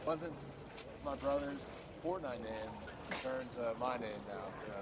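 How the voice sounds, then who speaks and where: A man's voice: medium pitch, a little slow, heavy background noise. A man being interviewed on a livestream, laid-back and hesitant, with many fillers. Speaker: guest. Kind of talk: casual interview.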